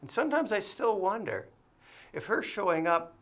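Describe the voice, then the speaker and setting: A man's voice: high-pitched, big pitch swings, normal speed, fairly bright tone. An actor in his fifties playing a man recalling a day of his life for an interview. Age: 50s. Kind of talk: film dialogue.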